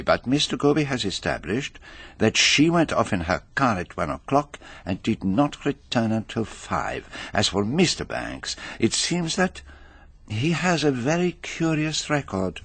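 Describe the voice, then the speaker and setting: A courteous, self-assured detective in a radio mystery, his husky voice rising and falling expressively. He phrases everything with fussy precision and theatrical deliberation, close and dry on the microphone. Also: medium pitch, very animated, unhurried, a bit bright, no echo, heavily acted. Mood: musing. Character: fastidious, self-assured male foreign detective, courteous and methodical. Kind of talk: British radio drama acting.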